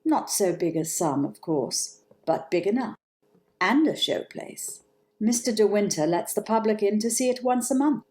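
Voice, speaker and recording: A woman's voice: mid-range voice, lively intonation, normal speed, dark tone, natural voice. Woman acting a stern, formal manor housekeeper. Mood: coolly proud. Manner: clipped, formal, restrained. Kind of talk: old-time radio drama acting.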